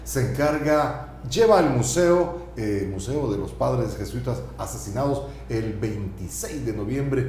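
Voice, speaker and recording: A man's voice: mid-range voice, animated, unhurried, hardly any pauses, darkish tone, very echoey, slight background noise. A man hosting a TV interview show, chatty and asking frequent tag questions. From El Salvador. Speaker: TV talk show host. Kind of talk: conversational TV interview. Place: TV studio.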